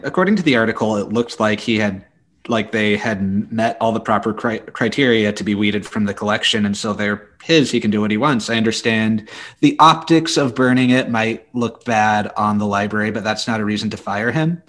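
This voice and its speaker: Slightly deep-voiced man in casual discussion. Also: normal speed, fairly bright tone. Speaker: library podcast co-host.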